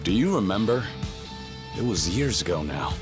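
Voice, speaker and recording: Casting: a stoic young yakuza brawler for a game dub, very low register, dry and clipped, music under. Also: male voice, sing-song, moderate pace. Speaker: game character. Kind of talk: English video game dub. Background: game soundtrack music.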